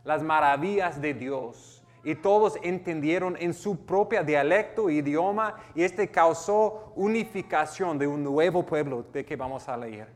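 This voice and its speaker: Pastor with an American accent, slightly higher voice than most men, earnest, stumbling through some words, with a long hall echo. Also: male voice, measured pace, hardly any pauses. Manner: earnest, with restarts and self-corrections. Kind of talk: sermon, reading and explaining scripture.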